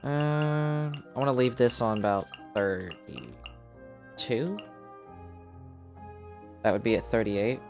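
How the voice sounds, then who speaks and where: A man's voice: mid-range voice, lively intonation, slow pace, gravelly, pauses often, darkish tone, muffled recording. A man streaming a video game with casual live commentary, muttering to himself as he goes. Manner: muttering to himself, counting aloud. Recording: music playing behind the voice. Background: game music.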